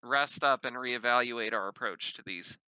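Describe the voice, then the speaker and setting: A man's voice: mid-range voice, normal speed, pushed and bright, clear, resonant tone, no echo, poor audio. A man playing a tabletop roleplaying game, chatting casually and speaking bluntly with swearing, sometimes in character.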